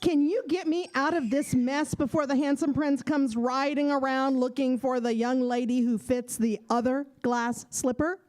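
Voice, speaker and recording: A woman's voice: high voice, steady tone, normal speed, hardly any pauses, darkish tone, lightly acted. A woman playing a sweet, wishful young fairy-tale heroine in a children's audio play, earnest and pleading. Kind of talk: children's audio theater acting.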